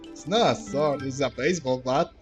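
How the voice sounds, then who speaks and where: A man's voice: mid-range voice, normal speed, music in the background. A man doing casual, playful let's-play commentary, reacting aloud and reading game dialogue. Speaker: game streamer.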